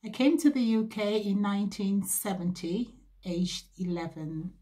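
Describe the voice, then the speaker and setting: Slow, measured woman from Barbados. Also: mid-range voice, fairly even intonation, mellow. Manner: measured, unhurried, with frequent ums. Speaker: former athlete sharing memories. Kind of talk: reflective personal recollection.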